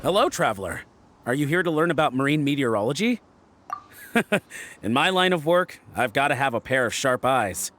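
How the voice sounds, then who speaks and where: A man's voice: mid-range voice, animated, normal speed, background noise, natural voice. A man voicing a friendly, proud ship's lookout in a video game, chatty and explanatory, with music behind him. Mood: friendly, eager. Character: friendly, proud male ship's lookout teaching weather lore. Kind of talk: scripted video game dialogue.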